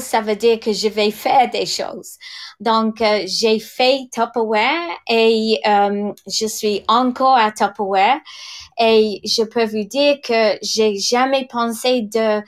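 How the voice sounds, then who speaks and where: Slow, hesitant woman in her sixties. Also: a little high. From England. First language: English. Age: 60s. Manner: hesitant, many fillers and restarts. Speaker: direct sales leader. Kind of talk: podcast testimony.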